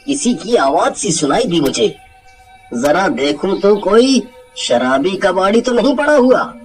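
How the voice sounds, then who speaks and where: A nosy, easily startled young man acted by a man with a very high, unhurried voice. The cartoon-story role sounds a little away from the mic. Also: sing-song, far from the mic, soft background music. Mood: curious.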